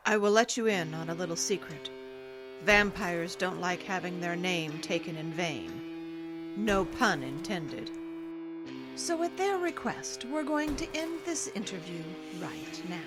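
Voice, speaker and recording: A sinister female interrogator played by a woman who speaks slowly and deliberately. She is scornful, condescending and articulate in a horror audio drama. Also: lowish voice, expressive, loud music behind the voice. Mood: sinister amusement.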